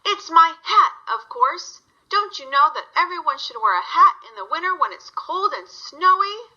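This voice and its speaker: A woman's voice: extremely high pitch, sing-song, moderate pace, sharp tone, highs cut off, voice pushed up, heavily acted. A woman voicing a friendly, stubborn little hedgehog in a children's story. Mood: exasperated.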